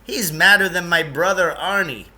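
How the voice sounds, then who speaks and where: A man's voice: high-pitched, normal speed, sharp tone, pitched above the performer's own voice. A man voicing an eccentric, brusque and impatient grown man in a children's novel, speaking emphatically and dismissively. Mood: scornful. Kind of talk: children's novel read-aloud.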